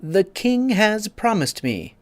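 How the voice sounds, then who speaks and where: A man's voice: sits high, big pitch swings, no room sound, studio quality, pitched above the performer's own voice, exaggerated voice. A man voicing a pompous, easily offended egg creature who lectures and takes offense. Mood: indignant. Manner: haughty, quick to take offense, lecturing.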